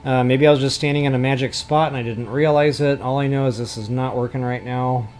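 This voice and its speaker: A man's voice: mid-range voice, fairly even intonation, fairly quick, darkish tone, a little hiss or hum. A man hosting a video game building tutorial, informal and mildly self-deprecating as he thinks aloud. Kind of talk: casual screen-recorded game building tutorial. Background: music.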